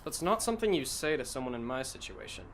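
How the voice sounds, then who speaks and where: A man's voice: medium pitch, big pitch swings, fast talker, a bit bright, a little hiss or hum. A man in an anime fan dub playing a weary, puzzled teenage schoolboy with a dry, terse, sardonic delivery.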